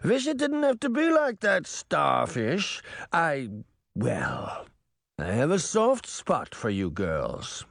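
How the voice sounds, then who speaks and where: A sly villain spirit from a storybook, acted out by a male narrator, taunting and falsely friendly. The delivery is theatrical and swoops widely in pitch, lifted above an ordinary male register, in a dry recording. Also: wildly swooping pitch, slow, vocal fry, a bit bright, dry recording, full character voice. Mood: coaxing. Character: sly, mocking villain mirror spirit possessing an old woman's body. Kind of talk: audiobook character voice.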